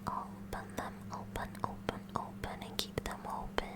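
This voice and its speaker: A woman playing a kooky, curious high school science club president in a whispered ASMR roleplay, softly and hesitantly chatty. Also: moderate pace, natural voice. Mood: gently instructing. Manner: soft, hesitant, inquisitive, chatty.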